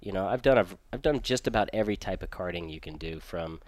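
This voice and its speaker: A very low-voiced man recounting his past in an easygoing, rambling way, full of fillers. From Michigan and raised in Virginia, he sounds close and dry, with no room around him. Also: lively intonation, quick pace, dry recording. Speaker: guest race car driver. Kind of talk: casual podcast interview.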